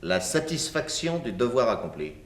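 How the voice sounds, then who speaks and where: An actor playing a shrewd, courteous police inspector in a 1960s French radio drama, questioning politely and patiently. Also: male voice, mid-range voice, big pitch swings, normal speed, natural voice. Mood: courteous, probing.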